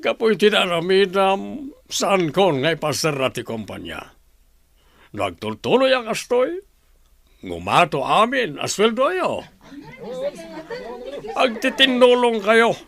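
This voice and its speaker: A man's voice: sits high, big pitch swings, measured pace, vocal fry, a bit bright, no room sound, full character voice. An Ilocano-accented man playing a commanding, proud company boss in a radio drama. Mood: pleased, commanding. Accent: Filipino (Ilocano).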